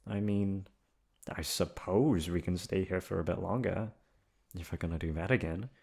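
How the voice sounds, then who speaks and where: A man playing a lovestruck young delinquent confessing to his best friend in an intimate ASMR roleplay, tender and halting. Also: sits low, gravelly, darkish tone, husky, heavily acted. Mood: playful, coy.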